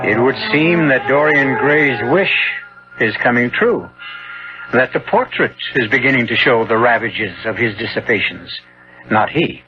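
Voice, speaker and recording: A man narrates a vintage radio mystery with solemn drama. His voice is mid-pitched and measured, with clear music behind him. The broadcast sounds dull.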